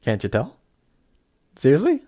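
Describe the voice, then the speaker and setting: A young man playing a kindhearted apprentice sorcerer in an audio roleplay, chatty, excitable and easily flustered, with some stammering. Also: medium pitch, measured pace. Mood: friendly, proud. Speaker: voice actor. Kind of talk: amateur audio roleplay acting addressed to the listener.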